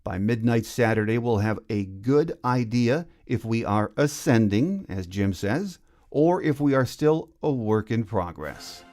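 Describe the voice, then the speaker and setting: An American man hosts a sports talk podcast. His voice sits at an average male pitch, and he delivers his lines as a show host addressing listeners and guests. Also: expressive, unhurried, a little mellow. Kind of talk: sports talk, scripted intro and interview.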